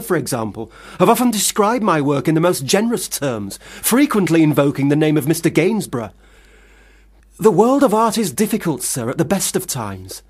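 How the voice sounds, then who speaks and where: Period radio drama son: young would-be poet, slightly high voice, florid, formal, defensive. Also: male voice, slightly rough, exaggerated voice. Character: failed parson's son, self-justifying young would-be artist and poet.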